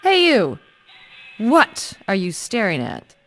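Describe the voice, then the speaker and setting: Brazen taunting game girl, slow drawl w lively pitch swings, faint music. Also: heavily acted. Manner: brazen, confrontational. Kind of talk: animated video game cutscene acting.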